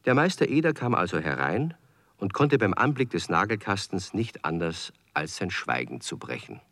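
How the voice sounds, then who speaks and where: A man narrating a children's radio play as a storyteller, with expressive, clearly phrased scripted delivery. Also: deep voice, normal speed, exaggerated voice. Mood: calm storytelling. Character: male storyteller of a children's tale.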